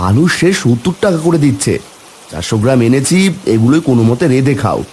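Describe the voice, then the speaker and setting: A man's voice: medium pitch, expressive, quick pace, a little mellow, slight background noise, natural voice. A man voicing a worried, caring village husband in a cartoon, with a Bengali accent and colloquial, exclaiming speech. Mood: exasperated. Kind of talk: cartoon dialogue acting.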